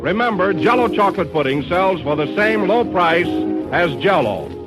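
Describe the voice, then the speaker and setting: An American male announcer delivers a 1930s-style radio advertisement. His voice is high for a man, and loud music plays on the old broadcast recording. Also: very animated, moderate pace. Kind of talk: 1930s radio commercial read.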